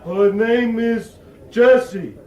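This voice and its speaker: An actor in a radio drama playing a simple servant robot with plodding, stilted delivery. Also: male voice, very high voice, slow, darkish tone. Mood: dutiful, flat. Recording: muffled, echoing, boxy sound.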